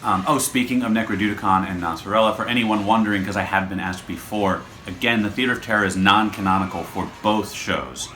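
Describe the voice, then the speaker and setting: A man's voice: fairly low pitch, fairly quick, hardly any pauses, sharp tone, slight background noise. A man hosting a podcast, explaining his show in a casual, rambling, self-deprecating way. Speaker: podcast creator and host.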